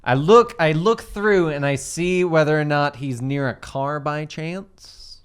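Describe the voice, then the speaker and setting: A man's voice: a little high, a little slow, clear, resonant tone. A man playing a tabletop game, joking and bantering casually with the group.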